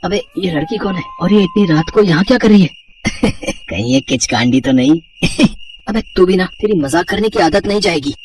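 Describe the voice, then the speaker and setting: A man's voice: high-pitched, expressive, normal speed, darkish tone, distant mic. A man playing a carefree young college student, mocking and slangy, in a horror audio story. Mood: casual banter. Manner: joking, dismissive, slangy.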